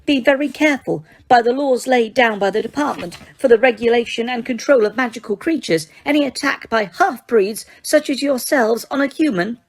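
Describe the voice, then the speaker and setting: Woman reader playing a high-pitched, officious witch; haughty, easily frightened. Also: normal speed, few pauses. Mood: prim. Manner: haughty, clipped officialese turning to shrieks. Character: prim, officious middle-aged witch, shrill and easily frightened.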